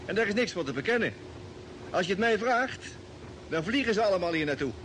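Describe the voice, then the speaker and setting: A man's voice: high-pitched, animated, fairly quick, a bit bright. A man acting a sceptical, plain-spoken spaceship crewman who grumbles in colloquial speech, in an old Dutch radio drama. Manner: colloquial, complaining. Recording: very noisy vintage radio play recording.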